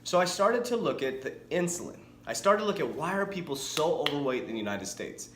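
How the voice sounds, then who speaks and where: A man's voice: fairly high pitch, a little fast, echoey room, slight background noise. A man in his forties, a fitness coach, talking energetically and chattily to camera with frequent restarts. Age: 40s. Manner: energetic, chatty, self-correcting. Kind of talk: casual talking-head vlog.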